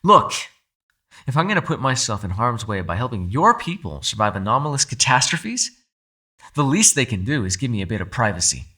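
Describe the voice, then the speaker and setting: A man voicing a proud, gentlemanly captive yokai in a dramatic ASMR roleplay, articulate and courteous yet demanding, speaking directly to the listener. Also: mid-range voice, sing-song, brisk, husky, exaggerated voice. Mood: firm, dignified. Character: captive male yokai, gentlemanly, proud, protective telepathic prisoner. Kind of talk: ASMR audio roleplay, dramatic character acting.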